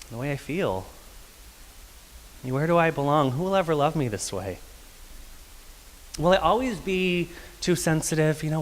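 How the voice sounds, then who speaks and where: A man from Texas with a soft, feminine-sounding voice, telling a personal story on stage in a confessional, wry way with light laughs. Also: a little high. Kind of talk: live personal storytelling monologue. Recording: long reverb of a performance hall.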